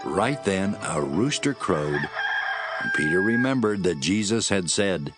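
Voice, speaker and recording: A man's voice: deep voice, expressive, unhurried, loud music behind the voice. A man narrating scripture as a scripted dramatic reading.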